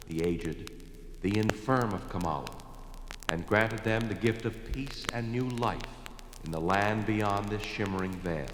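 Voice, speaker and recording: An actor playing an ancient, sorrowful alien creature, stately and formal, with a booming reverb effect, in a 1970s audio drama. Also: male voice, background noise. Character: ancient, solemn, sorrowful alien monster, last of its race. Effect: booming reverb for a telepathic voice. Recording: echoing long reverb.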